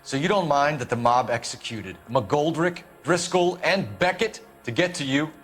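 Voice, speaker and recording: A man's voice: on the high side, moderate pace, a bit bright, soft background music, lightly acted. A man playing an intense, driven police detective in a dramatic video game. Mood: accusing, determined.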